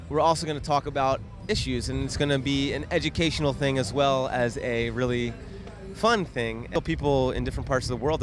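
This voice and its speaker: A man from a youth organization explaining its work with enthusiasm at an outdoor park event. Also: mid-range voice. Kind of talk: on-site interview. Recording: loud music outdoors.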